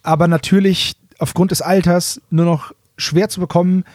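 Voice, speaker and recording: A man's voice: high voice, steady tone, normal speed, darkish tone, dry recording, studio quality. A man co-hosting a podcast, explaining casually and repeating words for emphasis. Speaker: podcast co-host.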